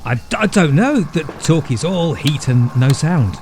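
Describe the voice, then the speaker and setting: A man's voice: a little high, very animated, a little slow, background noise. A man playing a nervous, put-upon sidekick in an audio horror drama, informal and muttering. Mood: flustered.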